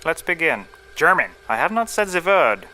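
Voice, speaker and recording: Man playing a high, bright, fussy psychologist. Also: big pitch swings, normal speed, rough recording. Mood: instructing. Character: fussy, clinical male court psychologist. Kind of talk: scripted comedy acting.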